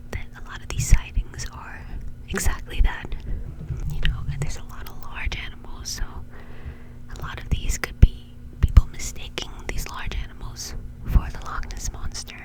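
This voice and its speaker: A woman whispering in an informal, chatty way, rambling casually as an ASMR host. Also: low-quality recording.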